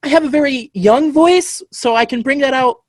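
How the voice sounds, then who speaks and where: A man, a voice actor, talking hesitantly and softly on a panel. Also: very high voice, moderate pace, no echo. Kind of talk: casual panel chat.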